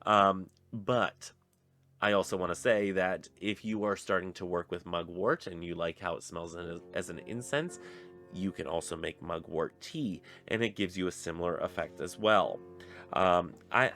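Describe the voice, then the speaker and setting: Young man, very deep voice, congested, casual rambling book chat over soft guitar. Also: moderate pace. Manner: rambling, many fillers and restarts. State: stuffy nose. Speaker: book reviewer on a pagan channel. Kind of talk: casual spoken book review.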